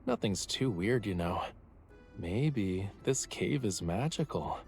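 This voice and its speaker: A playful, teasing young guide. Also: male voice, on the low side, animated, takes it slowly, a little mellow, faint music behind. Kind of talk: dramatic game-style story acting.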